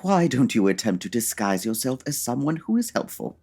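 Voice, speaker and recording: Pompous Victorian gentleman detective played by a woman: very deep, husky, precise and formal, animated delivery, comedy audio drama. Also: fairly quick, exaggerated voice. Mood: instructive, dry. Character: brisk, pompous male Victorian detective, dryly impatient.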